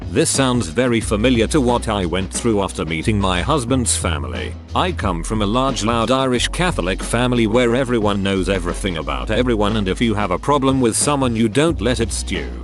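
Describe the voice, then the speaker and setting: Lively low-voiced male story reader. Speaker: narrator reading posts. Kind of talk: scripted narration. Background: background music.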